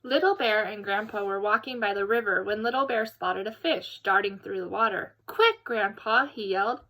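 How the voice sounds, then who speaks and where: A woman reading a children's story aloud, expressive and animated, as if to young listeners. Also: medium pitch, brisk, poor audio. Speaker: storybook reader.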